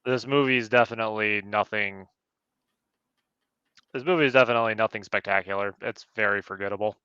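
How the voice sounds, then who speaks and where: A man's voice: on the low side, fairly even intonation, brisk, pushed and bright, smooth, no room sound, muffled recording. A man chatting casually as a panelist in a film review discussion. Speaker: podcast panelist.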